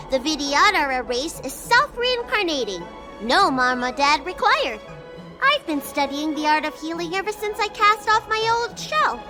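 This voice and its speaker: A woman's voice: very high-pitched, full character voice. A woman voicing a small, childlike female doctor in a video game, precocious, self-assured and easily exasperated.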